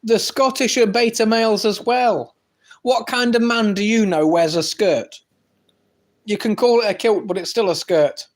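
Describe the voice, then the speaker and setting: A man's voice: sits high, moderate pace. An English man hosting a live stream, reading chat aloud and trading brash, teasing banter with viewers. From England. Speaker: livestream host reading chat.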